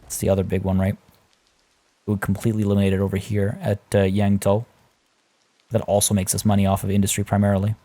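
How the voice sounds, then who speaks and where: Mellow, slightly hoarse man narrating gameplay. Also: fairly low pitch, fast talker, long pauses. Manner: relaxed, thinking aloud with many fillers. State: losing his voice, a bit hoarse. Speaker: let's play host. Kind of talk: casual gameplay commentary. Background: faint game music.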